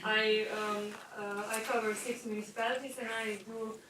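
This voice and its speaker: A woman's voice: fairly high pitch, flat delivery, moderate pace, a bit bright. Woman, hesitant, distant mic, audible hiss. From Slovenia. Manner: hesitant, with fillers and short laughs. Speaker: workshop participant. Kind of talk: self-introduction in a group. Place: workshop room. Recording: echoey room, far mic, hiss.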